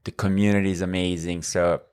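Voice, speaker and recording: A man in his thirties from Northern Italy, speaking English with an Italian accent as a podcast guest, measured and full of fillers. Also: low-pitched, level tone, a little mellow, clean tone. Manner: measured, with frequent fillers and restarts. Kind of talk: conversational interview.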